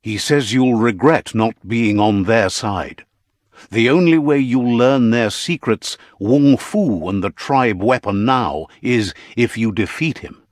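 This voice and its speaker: An actor playing a whimsical, wise storyteller who narrates an animal fable in a video game with theatrical, philosophical delivery. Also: male voice, medium pitch, takes it slowly, no echo, natural voice. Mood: wry, solemn.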